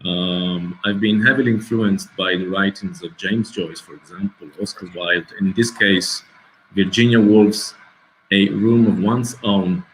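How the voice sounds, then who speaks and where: A middle-aged man, a documentary filmmaker answering festival questions over a video call, pausing often and searching for his English words. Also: low voice, fairly even intonation, slight background noise, poor audio. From Italy. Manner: hesitant, searching for words, many restarts.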